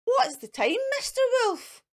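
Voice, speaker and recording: Storybook chant caller played by a woman: high, swooping, playful, questioning sing-song. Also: sing-song, unhurried, full character voice.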